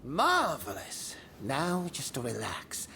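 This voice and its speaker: A man voicing an excitable, eccentric inventor in a video game, flustered and theatrical in his delivery. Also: mid-range voice, slow, creaky, slight background noise, heavily acted. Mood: eager, instructing. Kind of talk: video game cutscene acting.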